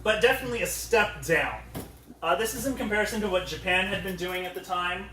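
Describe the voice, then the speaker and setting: A man's voice: high-pitched, a little fast, pushed and bright, a little hiss or hum. A man co-presenting a casual comedy panel to a live audience with mock-serious sarcasm, recorded at a distance in a roomy space. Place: convention panel room. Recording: roomy echo, picked up from a distance.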